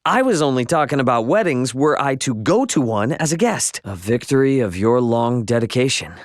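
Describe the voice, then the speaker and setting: An actor playing an easygoing, cocky, good-natured young hero in a video game, talking in a casual, teasing, slangy way. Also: male voice, medium pitch, very animated, moderate pace, dry recording, studio quality, lightly acted. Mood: relaxed, earnest. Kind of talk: English video game dialogue acting.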